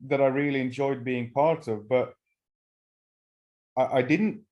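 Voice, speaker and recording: A middle-aged man from Finland, a native Swedish speaker, telling his personal recovery story at a meeting with halting pauses and restarts. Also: medium pitch, steady tone, highs cut off. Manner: halting, frequent restarts and fillers. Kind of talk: personal testimony talk.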